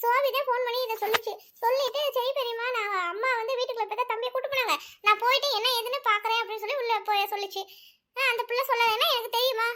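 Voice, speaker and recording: A woman's voice: very high-pitched, steady tone, racing, sharp tone. A woman voicing a worried aunt who frets and complains about family news. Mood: fretting. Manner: fretting, complaining.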